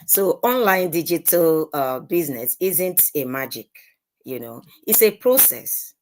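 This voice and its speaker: Woman panel speaker, low voice, measured and a little hesitant, faint background noise. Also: animated. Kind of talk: live online panel talk.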